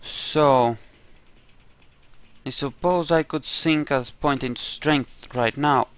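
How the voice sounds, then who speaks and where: Slow-paced man doing casual gaming commentary. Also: mid-range voice, smooth, dry recording. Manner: dry, wry sarcasm; rambling, thinking aloud. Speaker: gamer narrating a roleplay playthrough.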